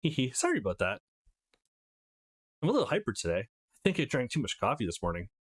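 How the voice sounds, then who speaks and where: A man's voice: mid-range voice, wildly swooping pitch, fast talker. Man doing a perky, mischievous teenage girl. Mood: giddy. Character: hyper, mischievous eighteen-year-old girl, childhood best friend.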